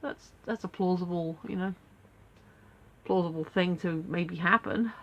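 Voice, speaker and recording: A woman's voice: lowish voice, measured pace, dull audio. A woman with a stuffy nose from a cold, rambling casually with many fillers in a daily video diary from home. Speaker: vlogger.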